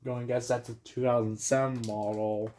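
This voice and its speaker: A man talks through a hands-on unboxing in a mid-pitched voice. He speaks casually in short, offhand fragments, muttering to himself as he goes. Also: flat delivery, moderate pace, a little mellow. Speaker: hobbyist unboxing and setting up a device. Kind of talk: casual hands-on vlog narration.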